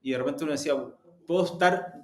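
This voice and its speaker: A man's voice: fairly high pitch, fast, a little mellow. A man with a Chilean accent giving spontaneous, reflective interview answers, often searching for words and rephrasing. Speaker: interview guest, podcast company executive. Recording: roomy, echoing sound.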